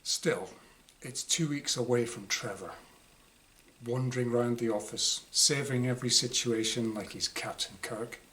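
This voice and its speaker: Man reading wryly, bright voice, noisy recording. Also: medium pitch, measured pace. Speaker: author reading own short story. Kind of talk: dramatised reading of a first-person diary story. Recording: clear background noise.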